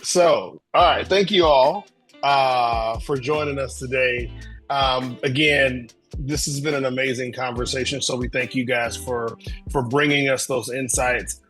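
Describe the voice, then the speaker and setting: A man with a middling pitch wraps up an online session, thanking everyone over and over. He speaks on a video call, with faint music under his voice. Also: steady tone, a little slow, fairly bright tone. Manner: repetitive thank-yous, slightly rambling. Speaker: host closing the session. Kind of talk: closing announcements.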